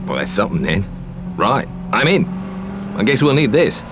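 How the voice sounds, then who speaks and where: An actor playing a gruff, blunt mercenary in a video game, talking in wry slang. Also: male voice, medium pitch, big pitch swings, moderate pace, lightly acted. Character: gruff, blunt, money-minded male mercenary. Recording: clear ambient noise behind.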